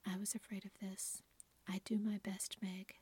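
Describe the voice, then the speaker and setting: A woman's voice: mid-range voice, flat delivery, measured pace, dark tone, breathy edge, no room sound. A woman whispering a patient young husband. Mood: hurt. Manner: whispered throughout.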